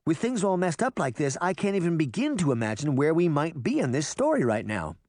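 A man's voice: a little high, sing-song, brisk, a little mellow, no echo, clean studio sound, pitched above the performer's own voice. A man voicing an earnest, stubborn young girl who speaks in an insistent way.

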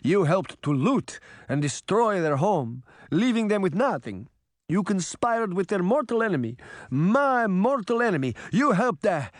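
A man playing a stern, indignant old father in a storybook, scolding forcefully. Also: high voice, dry recording, studio quality, pitched above the performer's own voice.

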